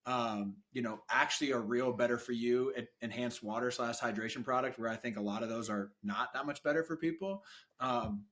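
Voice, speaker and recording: A man's voice: mid-range voice, a bit bright. A man co-hosts a podcast with real enthusiasm, tossing in casual slang. His voice sits in an ordinary middle range. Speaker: podcast co-host.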